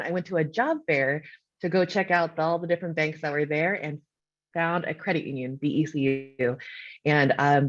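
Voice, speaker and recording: A woman's voice: lowish voice, no room sound. A woman speaking on an online panel, upbeat and friendly with many fillers. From Pacific Northwest of the US. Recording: muffled dry sound.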